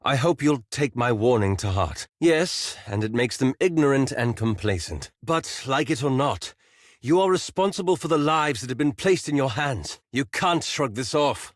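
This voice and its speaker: A man acts out an earnest, scholarly male adventurer for a fantasy video game. He speaks in a medium-pitched voice with formal, careful wording and a cautious, courteous manner. The recording is dry and close. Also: no room sound, natural voice. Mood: hesitant, resigned, then stern, insistent. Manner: formal, articulate, measured, gently reproachful. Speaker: voice actor in a fantasy role-playing game. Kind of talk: scripted video game character dialogue.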